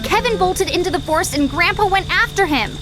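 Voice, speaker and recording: A woman voicing a bossy, brainy young girl with a sarcastic, scolding manner in an animated game cutscene. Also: very high voice, fairly quick, over music.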